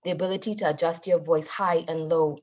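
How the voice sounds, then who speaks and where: A woman giving a motivational public talk as a guest speaker, with a deliberate, emphatic, instructive delivery. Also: deep voice, fairly even intonation, darkish tone. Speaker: guest speaker, Toastmasters member. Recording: dull, lo-fi audio.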